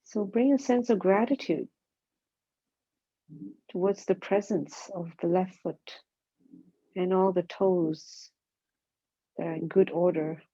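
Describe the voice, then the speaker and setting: A woman guides a body scan meditation, speaking calmly and gently in short, spaced phrases. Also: on the low side, slow pace, pauses often. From Singapore. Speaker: mindfulness meditation teacher. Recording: dull, muffled lo-fi sound.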